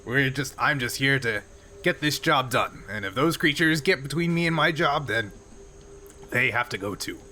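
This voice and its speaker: A blunt Klingon alien, acted out by a man at a gaming session, speaks with scornful unconcern in a bright, forceful voice. He is a gruff working warrior from a science-fiction roleplaying game, with faint noise around him. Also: mid-range voice, expressive, normal speed. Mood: blunt, unbothered.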